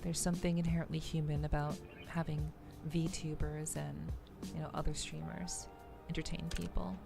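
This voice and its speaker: A woman hosting a livestream, chatting casually with viewers in a halting, measured way, often repeating words as she thinks. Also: low-pitched, flat delivery, creaky, over music. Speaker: VTuber livestream host.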